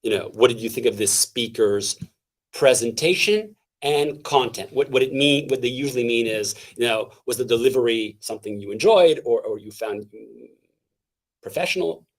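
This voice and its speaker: A man from Canada speaks as an interview guest, his voice fairly high and full of energy. He talks in an animated, halting way, restarting phrases and dropping in fillers as he goes. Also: rough recording. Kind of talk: casual interview talk.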